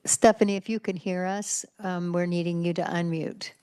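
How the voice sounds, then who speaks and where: A woman senator runs a committee hearing in a brisk, direct way. Her voice sits on the low side for a woman. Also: fairly even intonation, dark tone, clean tone. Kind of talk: chairing a hearing.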